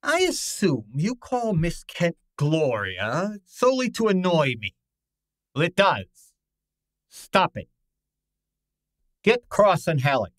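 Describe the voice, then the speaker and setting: Guy doing a pompous grumpy big detective, formal, slow talker w/ very animated pitch, old radio play style. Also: dry recording, full character voice. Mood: stern, imperious. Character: large, pompous, irritable male genius detective. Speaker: actor in an audio detective drama.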